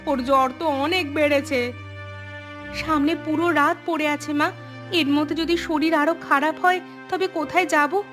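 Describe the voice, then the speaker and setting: A woman's voice: high voice, steady tone, normal speed, a bit bright, soft background music. A woman voicing an anxious, fretful elderly mother-in-law in a cartoon, with plaintive, dramatic delivery. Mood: worried. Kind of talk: Bengali moral-tale cartoon dubbing.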